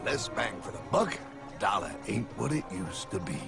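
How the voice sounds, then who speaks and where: A man's voice: lowish voice, very animated, normal speed, vocal fry. A man with an American accent voicing a brash, theatrical, streetwise cartoon mentor who preaches and talks cockily. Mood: cocky. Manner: dramatic, cocky, preachy, calls listener pal. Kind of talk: animated explainer cartoon acting. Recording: music bed behind the voice.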